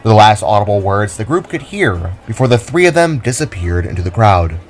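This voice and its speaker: A man narrates an audio drama from a script. His voice is on the low side, and music plays underneath him. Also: sing-song, brisk, some chatter behind.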